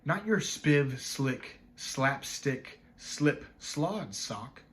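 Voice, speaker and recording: Man as a deliberate, playful tongue-twister reciter. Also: mid-range voice, very animated.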